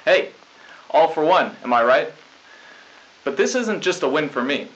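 A man's voice: fairly high pitch, expressive, unhurried, a bit bright. A young man anchoring a scripted school news broadcast from a studio desk, in a smug, upbeat manner. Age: young adult. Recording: lo-fi hissy old tape.

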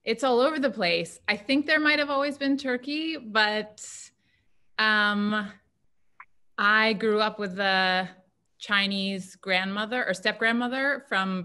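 A woman's voice: mid-range voice, pushed and bright, clear, resonant tone. A woman cookbook author speaking in a chatty way and laughing easily on a video call from home. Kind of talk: panel answer. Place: home room.

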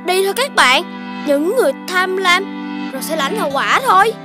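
A very high-pitched, curious cartoon puppy child. The delivery is earnest and eager, with loud music playing behind it. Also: lively intonation, a little fast. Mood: disapproving. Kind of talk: cartoon voice acting.

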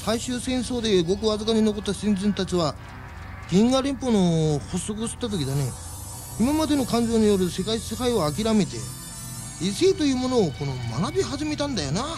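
A man's voice: high-pitched, expressive, normal speed, darkish tone, faint music behind. An actor playing a folksy, chatty alien man who explains things in a Japanese radio drama. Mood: explanatory, chatty.

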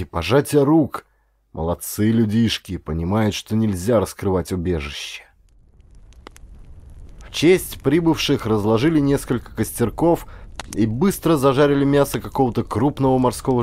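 A man narrating a historical adventure audiobook in an expressive, theatrical way with deliberate pauses. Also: mid-range voice.

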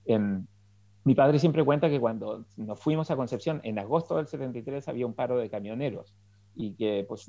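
A man's voice: mid-range voice, vocal fry, dark tone. A middle-aged man, a political scientist guest on a video call, recounting his life with many ums and restarts. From Chile. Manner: reflective storytelling with many fillers and restarts. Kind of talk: interview over video call. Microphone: video call headset. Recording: muffled dry video call audio.

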